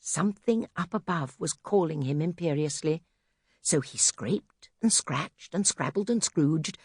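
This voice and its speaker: A woman's voice: sits low, expressive, a little slow, a little mellow, husky, dry recording. A woman narrating a children's audiobook expressively from a script, close to the microphone. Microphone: close studio microphone.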